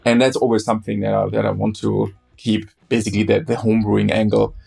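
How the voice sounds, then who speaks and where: A man from Austria, a German speaker, talking as a guest in a conversational interview, often halting and repeating words. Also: fairly low pitch, flat delivery, vocal fry, a little mellow, breathy edge. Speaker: guest beer historian.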